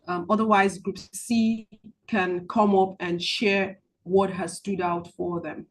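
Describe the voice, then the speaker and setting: A woman coaching a group on money mindset over a video call. She talks haltingly with many ums and restarts, and slows into soft guided-relaxation instructions. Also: mid-range voice, flat delivery, slight background noise. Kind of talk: online group coaching session with guided visualization.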